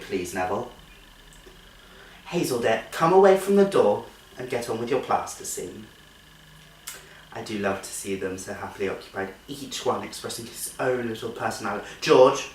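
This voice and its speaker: A man's voice: fairly low pitch, expressive, moderate pace, pauses often, slight background noise, natural voice. A man performing a comic stage monologue as a genteel female nursery teacher, politely coaxing and scolding with strained cheer. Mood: bright, coaxing. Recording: echoey room, distant microphone.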